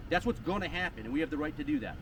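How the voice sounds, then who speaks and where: A man's voice: a little high, lively intonation, rapid-fire. A man in his thirties, a police supervisor questioning someone at a scene, firm and lecturing, often tagging "okay?" and "right?" onto his points. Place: outdoors by parked cars. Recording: distant voice, loud street noise.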